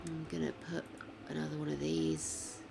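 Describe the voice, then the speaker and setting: Older woman, croaky and gravelly. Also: low voice, completely flat delivery, moderate pace, noisy background. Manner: chatty, swears freely, mutters to herself while building. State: croaky from a sore throat. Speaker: streamer. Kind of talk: casual game stream chat.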